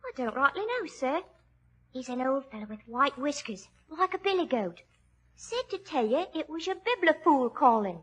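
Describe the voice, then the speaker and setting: An actress playing a humble, timid young housemaid in a 1940s radio drama. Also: female voice, high-pitched, very animated, normal speed. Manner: humble, says "ain't".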